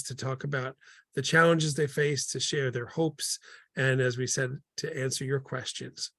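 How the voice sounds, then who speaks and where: A man's voice: mid-range voice, dry recording, clean studio sound. A man moderating a panel on a video call, welcoming the guests with frequent fillers and repeated words.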